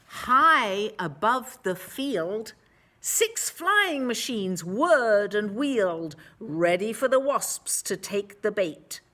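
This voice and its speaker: Woman reading a kids storybook, super slow, big swoops in pitch, dramatic emphasis on words. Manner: dramatic emphasis, drawn-out words, hushed asides. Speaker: storyteller reading a picture book. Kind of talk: expressive read-aloud for children.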